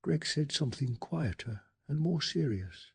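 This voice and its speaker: A man softly narrating a bedtime story in an ASMR style, with a calm, hushed, breathy delivery and some gravel in the voice. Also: a little high. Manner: slow, calming, hushed delivery. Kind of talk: soft-spoken ASMR storytelling.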